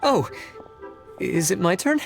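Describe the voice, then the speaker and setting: Casting: timid, flustered male clerk for a game, halting precise delivery over clear background music. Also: sits high, very animated, exaggerated voice. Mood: nervous. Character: timid, pedantic, flustered male civil servant. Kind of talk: video game voice acting.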